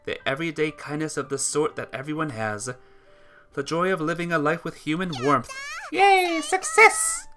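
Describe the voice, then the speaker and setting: A woman's voice: low-pitched, brisk, a bit bright. A woman game streamer reading a visual novel aloud and chatting casually with viewers. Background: music.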